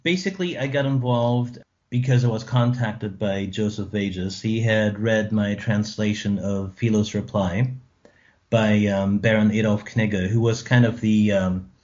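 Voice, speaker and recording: Slow-spoken German-born man, hesitant. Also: on the low side, darkish tone, highs cut off. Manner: thoughtful, hesitant with many ums. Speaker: author and translator, guest. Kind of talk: radio interview.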